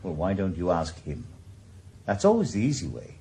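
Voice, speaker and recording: A man's voice: sits low, huge pitch swings, normal speed, mellow, slight background noise, exaggerated voice. An actor playing a world-weary, middle-aged former policeman turned private investigator, with a dry, sardonic Welsh voice in a radio drama. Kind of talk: 1980s radio drama acting with first-person narration.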